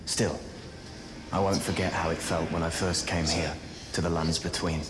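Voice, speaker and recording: An actor playing a courteous, wry fugitive sorcerer in a fantasy video game, genteel, chatty and scholarly. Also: male voice, low voice, level tone, moderate pace, background noise, natural voice. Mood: courteous, wistful. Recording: roomy echo with loud game music.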